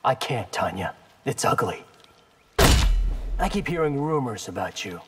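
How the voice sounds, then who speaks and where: A man's voice: mid-range voice, big pitch swings, unhurried. A man voicing a cocky, determined young male ninja fighter in video game fight banter. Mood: earnest, determined. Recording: long hall reverb, loud noise behind.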